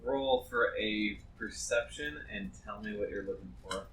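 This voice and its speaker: A man's voice: fairly low pitch, a little slow, vocal fry, a bit bright. A man narrating an improvised tabletop game as game master, with a wry, playful manner. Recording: echoey, noisy, distant group mic.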